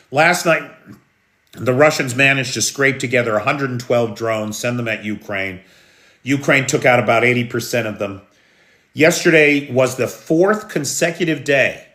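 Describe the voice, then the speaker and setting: A man's voice: medium pitch, a bit bright, roomy sound. An American man hosting a live news stream, talking unhurriedly and answering viewers' comments. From United States. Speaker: news livestream host. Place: room in Kyiv during air alert.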